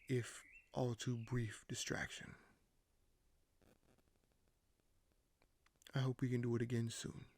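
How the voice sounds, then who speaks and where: An actor playing a scheming, courteous young king in an audio roleplay, speaking formally and hesitantly with deliberate pauses. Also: medium pitch, a little mellow, lightly acted. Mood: courteous, musing. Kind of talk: audio roleplay acting, addressed to the listener.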